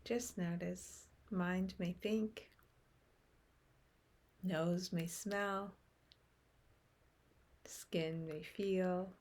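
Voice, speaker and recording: A woman leading a guided meditation in a gentle, soothing manner, with phrases that often soften into breath. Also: on the low side, steady tone, drawn-out words, pauses often.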